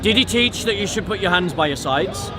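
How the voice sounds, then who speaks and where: A man's voice: sits high, lively intonation, brisk. A man debating religion in the open air, insistent and often repeating himself. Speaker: street debater. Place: outdoors in a busy public park. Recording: busy outdoor crowd behind. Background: crowd chatter.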